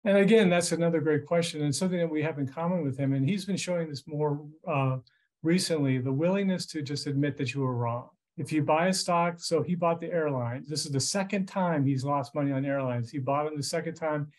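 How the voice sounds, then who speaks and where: A man in his fifties with an American accent and a fairly high-pitched voice. He explains things informally as a financial commentator, speaking conversationally over a video call from a room at home. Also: fairly quick, a little mellow. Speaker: financial journalist interviewed as expert. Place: small furnished room at home.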